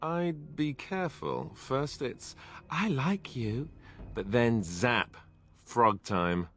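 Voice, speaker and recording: An actor voicing a young male warrior with dry, deadpan humor. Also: mid-range voice, slow pace. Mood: wry.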